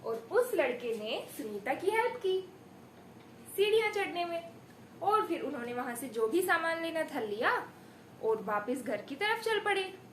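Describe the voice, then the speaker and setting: A woman's voice: very high-pitched, sing-song, measured pace, fairly bright tone. A woman giving a demonstration lesson as a primary school teacher, expressively telling a story and prompting pupils with questions and praise. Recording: echoey room with faint background noise.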